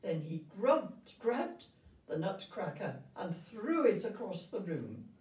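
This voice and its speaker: An elderly woman reading a storybook aloud to children in a gentle, grandmotherly and expressive way. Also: medium pitch, slow. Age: 70s or older. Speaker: retirement village resident reading a story. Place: indoor room with festive backdrop. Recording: roomy sound, distant microphone.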